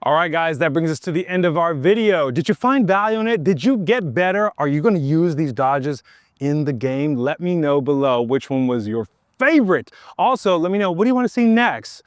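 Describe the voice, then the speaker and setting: A man's voice: fairly high pitch, fairly quick, no echo. Young male coach, upbeat, outdoor instructional delivery, dry mic. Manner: energetic, demonstrating moves while talking. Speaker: lacrosse coach. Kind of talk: instructional demonstration. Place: outdoors on a field.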